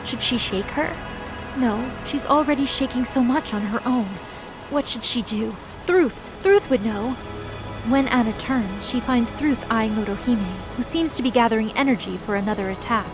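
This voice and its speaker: A woman giving expressive, scripted dramatic narration as the storyteller of a fantasy audio drama. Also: on the high side, moderate pace, music in the background, low-quality recording.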